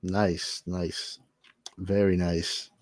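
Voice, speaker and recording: Male podcast co-host, fairly low pitch, casual, enthusiastic, repeats words for emphasis, dry recording. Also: level tone, drawn-out words, no echo.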